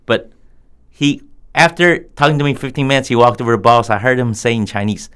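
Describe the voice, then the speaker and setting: A man's voice: medium pitch, fairly quick, fairly bright tone, clean tone. A man in his fifties from Texas with a Texas accent, a guest telling earnest stories from his past in a casual interview. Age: 50s. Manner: reminiscing, earnest storytelling.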